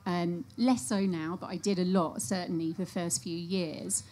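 A woman's voice: lowish voice, steady tone, a little slow, warm tone, a little hiss or hum. A woman speaking on a design panel, candid and self-deprecating, rambling a little. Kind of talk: panel discussion.

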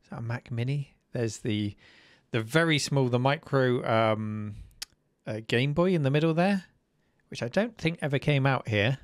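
A man's voice: mid-range voice, normal speed, no room sound, studio quality. A man hosting a live stream, chatting and reacting to viewers' videos. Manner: chatty, enthusiastic. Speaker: host.

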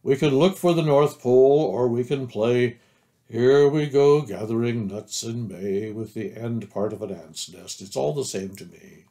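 Morose storybook donkey, voiced by a man: put-upon, halting grumbler, resigned, middle-pitched. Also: dark tone, lightly acted. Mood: gloomy, resigned.

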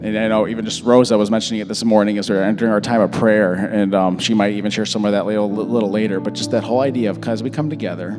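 A man in his thirties, a little hoarse, giving a relaxed church talk and prayer into a handheld mic, full of fillers and restarts. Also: fairly low pitch. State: slightly hoarse. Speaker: pastor. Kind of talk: informal sermon and prayer. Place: large church stage. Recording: church hall reverb. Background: soft worship music.

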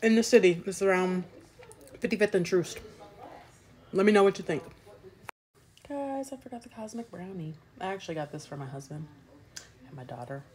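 A woman's voice: on the low side, lively intonation, moderate pace, long pauses, darkish tone. Chatty woman reviewing pastries at home. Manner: chatty, eats and describes between bites. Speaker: food vlogger. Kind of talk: casual food review vlog. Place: indoors at home, small room. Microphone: phone held at arm's length.

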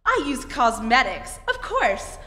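A woman's voice: a little high, wildly swooping pitch, slow, sharp tone, full character voice. A woman playing a poised film star giving a testimonial in an old-time radio advert. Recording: echoey stage hall.

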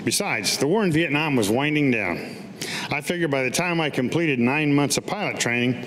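A man's voice: a little high, lively intonation, moderate pace, fairly bright tone. An older American man giving a staged reading of his own autobiographical piece at a podium. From United States. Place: public meeting room, at a podium. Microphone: podium gooseneck microphone. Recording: echoey hall with background noise.

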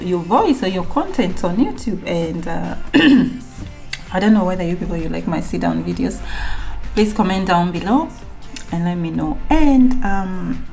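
Young woman from kenya chatting to her camera at home, lowish voice, rambly and thoughtful, soft music under it. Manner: rambling and reflective, many fillers and restarts. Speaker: vlogger. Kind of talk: casual sit-down vlog talking to camera. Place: alone at home.

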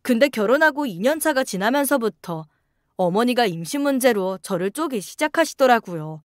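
High-voiced woman narrating a story. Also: lively intonation, moderate pace, no room sound, clean studio sound. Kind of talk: scripted first-person story narration.

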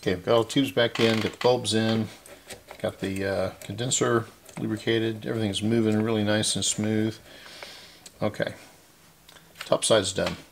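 A man's voice: on the low side, level tone, moderate pace, gravelly, pauses often. An older man giving casual repair commentary at a workbench, folksy and muttering to himself as he works, with faint noise and clicks in the recording. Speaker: hobbyist repair vlogger. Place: cluttered indoor workbench.

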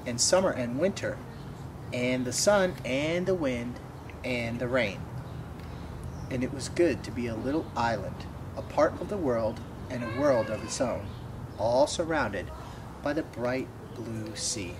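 A man's voice: mid-range voice, lively intonation, measured pace, noisy background. A man in his twenties reading a children's picture book aloud. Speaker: storybook reader. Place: outdoors by a wooden fence.

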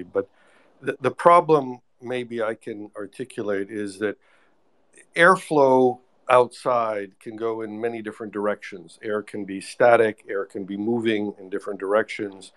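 A man on an online audio panel, answering listeners in a measured way with many fillers and restarts. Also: lowish voice, a bit bright. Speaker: expert panelist. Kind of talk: live audio panel discussion. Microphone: remote online audio call.

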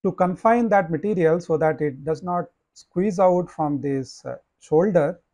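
A male professor talks through his slides in a slightly high voice, measured and deliberate, with many "uh" fillers. The sound is close and dry, with no room around it. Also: warm tone, dry recording. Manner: measured explaining with frequent "uh" and "you know". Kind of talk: slide lecture.

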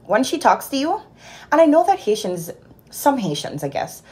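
A woman's voice: high-pitched, normal speed. A young woman in her twenties from Jamaica, a nurse telling a work story in a casual vlog, recorded on a phone held at arm's length in a small room at home. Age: 20s. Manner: animated, exasperated storytelling. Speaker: nurse vlogger.

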